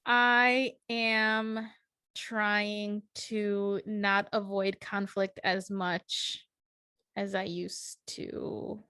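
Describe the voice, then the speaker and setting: A woman's voice: mid-range voice, flat delivery, extremely slow, pushed and bright, clean tone, dry recording, clean studio sound. A young woman co-hosting a podcast in casual chat, talking earnestly and reassuringly, with lots of "like" and "um". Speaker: podcast co-host.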